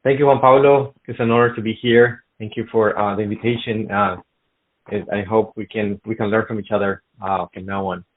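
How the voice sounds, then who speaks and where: A middle-aged man from Colombia, a business guest in a casual interview, speaking haltingly with many fillers and restarts. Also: lowish voice, fairly even intonation. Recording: phone-like narrow sound.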